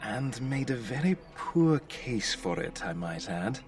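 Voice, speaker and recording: A man playing a young nobleman in a fantasy game, courteous and flattering with a dry, polite manner. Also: mid-range voice, unhurried, recorded from a distance, soft background music. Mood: courteous, wry.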